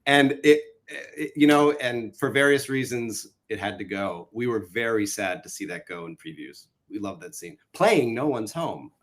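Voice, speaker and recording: A man with a middle-pitched voice chats casually. He tells stories animatedly, with quick asides and restarts. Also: lively intonation. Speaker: co-writer of a musical. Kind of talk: casual live-stream chat.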